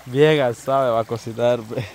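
A man's voice: mid-range voice, fairly even intonation, normal speed, a little mellow, slight background noise. A young man chatting outdoors by a river, joking and laughing as he sets playful challenges. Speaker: vlogger. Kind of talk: casual outdoor chat.

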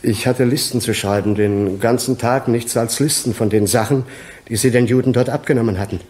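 An actor playing a reticent, uneasy clerk in his forties, halting and subdued, in a radio drama. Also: medium pitch, fairly even intonation, a little mellow, natural voice. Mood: uneasy, haunted. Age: 40s. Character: reticent, uneasy 42-year-old clerk, a reluctant witness. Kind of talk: German radio crime drama acting.

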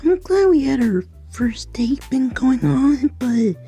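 A woman's voice: high-pitched, very animated, takes it slowly, warm tone, faint music behind, full character voice. A woman voicing a sweet, unsure young boy in an amateur cartoon, speaking hesitantly and politely. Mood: shy.

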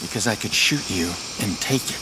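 Man acting a low-voiced, terse vigilante. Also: expressive, a little fast, very noisy, close to an everyday voice. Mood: cool, firm. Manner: terse, controlled, dry. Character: terse, steely male vigilante hacker. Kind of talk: video game cutscene acting. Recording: loud film-score music behind.

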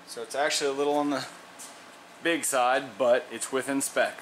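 A plainspoken man talks through his hands-on repair work at a middling pitch, pausing and restarting as he measures. A faint air-conditioning hum sits under his voice in a garage workshop. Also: fairly even intonation, a bit bright, rough recording. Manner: plainspoken, thinks aloud with fillers and restarts. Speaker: mechanic demonstrating an engine rebuild. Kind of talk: casual how-to narration while working.

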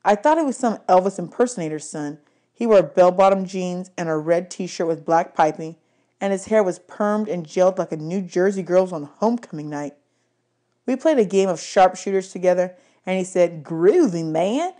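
A woman reading an audiobook aloud in an expressive storybook style. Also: fairly low pitch, normal speed. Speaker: audiobook reader.

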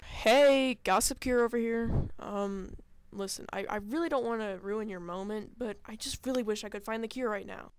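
A man's voice: high voice, normal speed, hardly any pauses, soft background music. A man playing an earnest, put-upon researcher in an amateur comic drama, with an exclamatory manner. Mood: concerned, weary. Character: earnest, put-upon researcher called Goofy seeking a cure.

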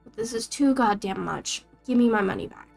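Woman voicing an angry broke ex-worker, foul-mouthed, high-ish voice. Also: expressive, fairly quick, vocal fry, faint music behind. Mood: furious. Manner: furious, swearing. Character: angry broke former agency employee, woman.

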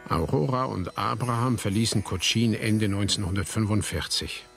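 Low male narrator over sitar music. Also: moderate pace, creaky, fairly bright tone. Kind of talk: scripted literary narration in a radio drama.

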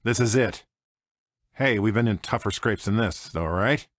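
An actor playing a cocky, grumbling smuggler pilot in a video game, with an American accent and short sardonic quips. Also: male voice, on the low side, unhurried, no echo, studio quality. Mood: resigned, determined. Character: cocky, wry male smuggler pilot, grumbling reluctant hero. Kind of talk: video game character voice lines.

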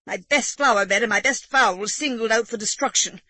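A woman reading aloud, voicing an indignant country gentleman in agitated, huffy outbursts. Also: fairly high pitch, fairly quick, no echo, voice pushed up.

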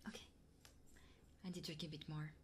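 A woman streaming ASMR, chatting in whispers and soft murmurs close to the microphone. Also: sits low, noisy background.

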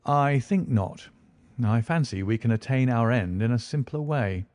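A man voicing a calm, assured detective. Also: mid-range voice, a little slow, no room sound, natural voice. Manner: calm, dry, quietly confident. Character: cool, measured male detective. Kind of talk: audiobook character voice.

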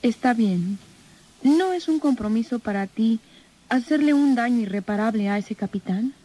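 Mellow, frightened young captive woman. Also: fairly high pitch, expressive, moderate pace, rough recording. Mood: submissive. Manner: hesitant, anxious. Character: fearful, submissive young woman trapped by gangster. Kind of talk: old radio soap opera acting.

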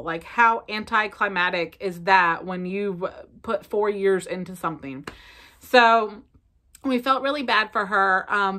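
A bright, clear-toned woman in her thirties, speaking with a Southern American accent. She talks to the camera in a chatty, expressive way, with many ums and likes. She is recorded close up in a bedroom at home, at a middle pitch. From South Carolina. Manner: chatty, expressive, lots of fillers. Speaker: family vlogger. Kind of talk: casual chat to camera. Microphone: camera in front at close range.

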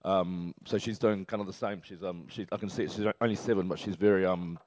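A very deep-voiced man, joking easily as a panel guest. He talks loosely, with plenty of ums, into a handheld mic on a stage in a big formal hall. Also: a little fast, a little hiss or hum. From Chile. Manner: relaxed, joking, many fillers. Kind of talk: casual panel talk.

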